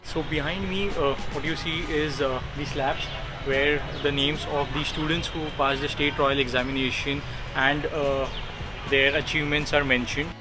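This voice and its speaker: A man's voice: a little high, steady tone, normal speed. A man presenting a travel vlog, explaining sights like a guide, recorded outdoors with music behind him. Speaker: travel vlogger. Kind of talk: travel vlog narration to camera. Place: outdoors at tourist sites.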